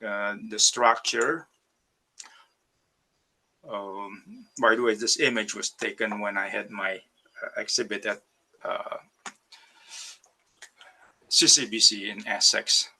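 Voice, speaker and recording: A man's voice: on the low side, fairly even intonation, slow, long pauses, sharp tone, low-quality recording. A man from Indonesia, an artist, giving a hesitant online talk about his work over a video call, with many fillers and restarts. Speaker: ceramic artist. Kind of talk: virtual artist talk presenting slides.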